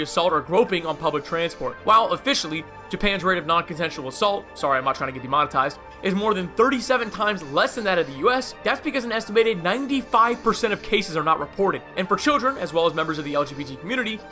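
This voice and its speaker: High-pitched, fast-talking male video narrator. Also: lively intonation, bright, forceful tone. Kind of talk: scripted narration with casual asides. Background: faint music.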